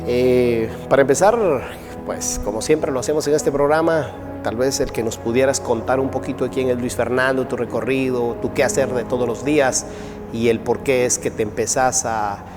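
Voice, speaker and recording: Talk show host, Guatemalan man, measured and thoughtful, long reverb with faint music behind. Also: medium pitch. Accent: Guatemalan Spanish. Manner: measured, thoughtful, many fillers. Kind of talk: interview host questions.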